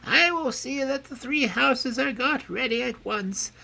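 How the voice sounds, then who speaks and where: A reader voicing an old, feeble mother pig who is loving and anxious, in a slow, earnest storybook telling. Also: high-pitched, vocal fry, bright, forceful tone, pitched above the performer's own voice, full character voice. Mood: tender, worried. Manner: slow, tender, earnest pleading. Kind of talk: storybook reading.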